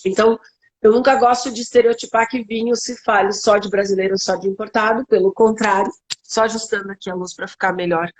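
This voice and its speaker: A woman from southern Brazil, a winemaker guest, talking in a lively, easygoing way and laughing easily. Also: medium pitch, a little fast, poor audio. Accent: Southern Brazilian (Gaúcho) Portuguese. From Serra Gaúcha, southern Brazil. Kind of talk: conversational interview.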